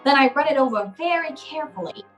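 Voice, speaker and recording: A woman's voice: high-pitched, lively intonation, fast talker, muffled recording, music in the background. A woman playing a cynical, wry private eye, curt and hardboiled, in an old-time radio noir drama. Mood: wry narrating.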